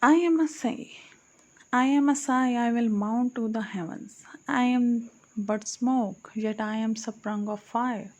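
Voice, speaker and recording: A woman's voice: a little high, slow, muffled recording. A woman reading archaic verse aloud as an audiobook, in a solemn recitation that stops to correct her own slips. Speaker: audiobook reader.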